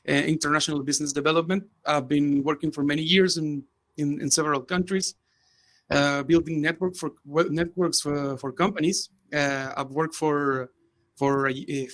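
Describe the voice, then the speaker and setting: A man from Chile, moderating a panel in a formal, halting way that often repeats words. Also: fairly high pitch, a bit bright. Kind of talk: moderating an online panel discussion.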